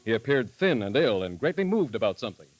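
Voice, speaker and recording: A man narrating in the formal, measured, dramatic style of a 1930s radio announcer. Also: mid-range voice, very animated. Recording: vintage 1938 radio broadcast recording.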